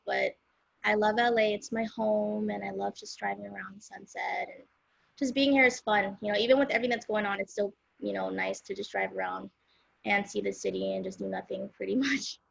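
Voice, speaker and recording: Creaky-voiced young woman chatting casually. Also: mid-range voice, no echo, dull audio. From South Central Los Angeles, USA. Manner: relaxed, rambling, with many fillers like "um" and "like". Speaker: guest singer-songwriter. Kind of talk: casual interview chat.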